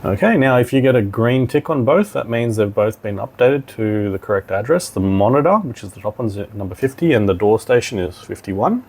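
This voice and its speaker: A man giving an informal software tutorial, thinking aloud as he instructs step by step. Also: mid-range voice, lively intonation, fairly quick, hardly any pauses, breathy edge. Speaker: technical demonstrator. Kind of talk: software tutorial walkthrough, talking while clicking.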